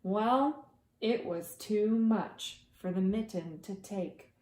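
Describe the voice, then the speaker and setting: Preschool teacher lady reading a kids story, slow n dramatic, echoey room. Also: medium pitch. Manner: dramatic, with hushed asides to young listeners. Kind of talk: expressive children's storybook read-aloud.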